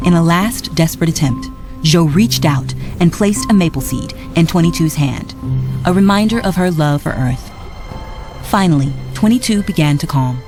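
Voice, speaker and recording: A woman narrating a read-along storybook in an expressive, scripted storytelling style. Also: fairly low pitch, normal speed. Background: music.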